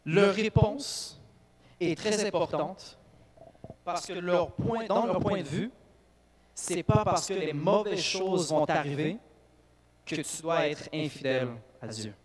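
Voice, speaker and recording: A man, a native English speaker, preaching in a church with lively bursts of emphasis and frequent restarts. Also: high voice, slow. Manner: animated preaching with restarts and self-corrections. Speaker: youth speaker. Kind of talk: sermon.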